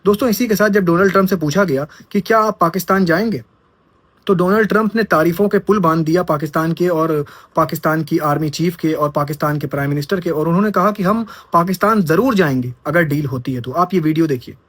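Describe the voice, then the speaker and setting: Male news commentator, husky voice, dry close sound, friendly direct address. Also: a little high, fast talker, a bit bright, dry recording. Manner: addresses viewers as friends, rhetorical questions.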